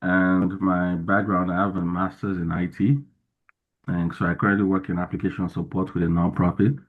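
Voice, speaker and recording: A man introducing himself as a student on a class call, speaking calmly with some hesitation. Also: sits low, normal speed, slightly rough. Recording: tinny phone-like line.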